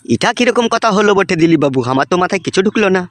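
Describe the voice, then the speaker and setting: A man acts out a nosy, cheeky village man from a comedy cartoon, with a rural West Bengal accent. He talks extremely fast in a high voice, crude and pushy as he hands out advice. The sound is close and dry. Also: lively intonation, no echo. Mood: probing. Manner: crude, swearing, teasing and insistent. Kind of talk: comic cartoon dialogue.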